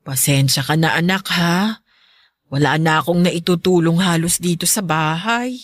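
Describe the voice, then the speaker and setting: A woman acting a caring, worried middle-aged mother in a Filipino radio drama, gentle and fretting. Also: lowish voice, normal speed, close to an everyday voice. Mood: guilty, pleading.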